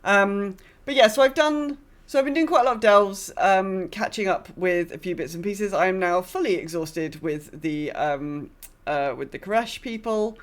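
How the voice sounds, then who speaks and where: A woman's voice: mid-range voice, normal speed. A woman hosting a gaming podcast, chatting casually in a rambling, self-deprecating way. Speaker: podcast host.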